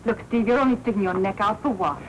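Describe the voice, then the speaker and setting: An American actress playing a sharp-tongued woman reporter in a 1940s noir film, skeptical and challenging. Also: medium pitch, quick pace, lightly acted. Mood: skeptical, exasperated. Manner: tart, challenging. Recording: noisy 1940s film soundtrack.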